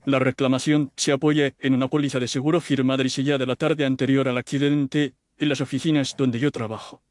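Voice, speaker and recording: A man's voice: mid-range voice, flat delivery, brisk, a little mellow, dry recording, studio quality, pitched above the performer's own voice, lightly acted. Man as anxious, earnest young Victorian clerk. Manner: formal, deferential, pleading. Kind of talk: audio detective story dialogue.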